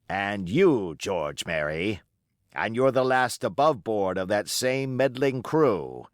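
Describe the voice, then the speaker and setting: A man's voice: mid-range voice, no room sound, clean studio sound. A man voicing a cunning, scornful pirate ringleader in an audiobook, taunting and emphatic with rhetorical questions. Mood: accusing, indignant. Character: Long John Silver, scornful cunning male pirate ringleader.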